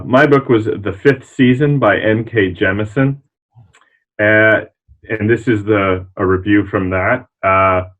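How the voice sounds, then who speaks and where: A man's voice: fairly low pitch, a bit bright. A man speaking casually and reading aloud, on slightly dull, lo-fi audio. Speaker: librarian reading book reviews aloud.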